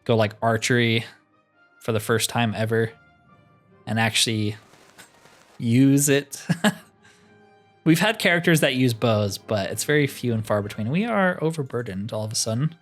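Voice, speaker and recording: A man's voice: mid-range voice, measured pace, pauses often, soft background music. A man giving casual, wry commentary as he plays through a video game, often with dry humor. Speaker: gaming video host.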